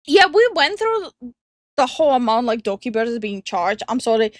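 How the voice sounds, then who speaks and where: A young woman with a slight Indian English accent chats casually and is quick to laugh. Her voice is high and lively, and the recording is dry and close. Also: dry recording. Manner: giggly, animated, quick to laugh. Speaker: co-host reacting to online drama. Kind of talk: casual chat.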